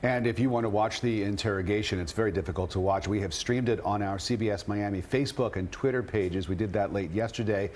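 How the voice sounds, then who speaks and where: A man's voice: on the low side, fast talker, hardly any pauses, a little mellow, slight background noise. A man with an American accent presenting the news as a television anchor.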